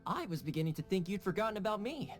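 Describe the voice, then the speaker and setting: A man's voice: high voice, sing-song, fast talker, faint music behind. A man playing a playful, easygoing young man in a video game, teasing and breezy.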